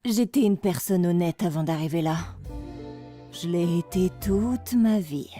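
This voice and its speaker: A woman's voice: on the low side, unhurried, music in the background, lightly acted. A woman voicing a bitter, vengeful schoolteacher in an amateur cartoon fan dub, narrating with sarcasm.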